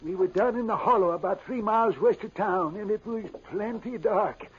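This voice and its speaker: An actor playing a rustic, easily spooked stagecoach driver in a 1950s western radio drama. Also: male voice, sits high, normal speed, breathy edge. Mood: earnest. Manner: folksy, rustic. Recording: dull, noisy old radio recording.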